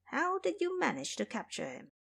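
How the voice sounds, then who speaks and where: A woman voicing a male demon king, cautious and condescending, musing in a measured, quiet way in an audio story. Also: high-pitched, wildly swooping pitch, gravelly, voice pushed up, heavily acted. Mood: wary.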